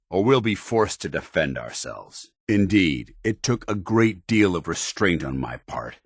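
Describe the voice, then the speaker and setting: An American actor playing a stern, principled military paladin in a video game, with clipped, formal, authoritative diction. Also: male voice, low-pitched, a bit bright, slightly rough, dry recording, clean studio sound, full character voice. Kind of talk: video game companion dialogue.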